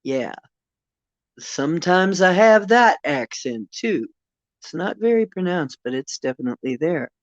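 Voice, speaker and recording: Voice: mid-range voice, big pitch swings, unhurried, dry recording, dull audio. An older host with a Northeastern Ohio accent, chatting casually and expressively, laughing often and trailing off with fillers. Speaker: channel host. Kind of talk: casual livestream chat.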